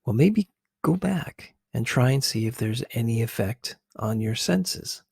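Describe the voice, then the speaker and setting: Male ASMR commentator: husky, hushed, hesitant conversational delivery, dry close-mic sound. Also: mid-range voice, animated, no echo. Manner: hesitant, halting, searching for words. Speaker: video host.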